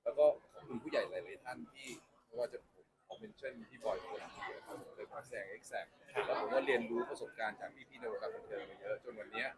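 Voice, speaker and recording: Halting, earnest man, mid-pitched. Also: rough recording. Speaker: company boss being interviewed. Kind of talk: press interview answers. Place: busy media event. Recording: crowd chatter at press event.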